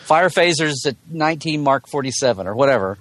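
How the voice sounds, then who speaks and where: A man's voice: mid-range voice, animated, a little fast, dry recording. Chatty man in a dry, close recording. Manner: chatty, explanatory, jokey asides. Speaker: returning podcast guest. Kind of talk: casual interview chat.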